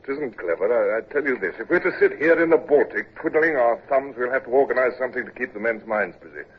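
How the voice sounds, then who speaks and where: A man playing an impatient, brisk naval commodore who also narrates the story, in 1940s radio drama style. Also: medium pitch, lively intonation, slightly rough. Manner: brisk, impatient, commanding, given to stammered restarts. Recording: dull old radio transfer with faint hiss.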